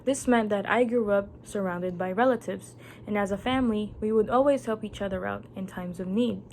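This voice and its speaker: A young woman from the Philippines, a Tagalog speaker, giving an earnest, measured prepared speech. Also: medium pitch, darkish tone, a little hiss or hum.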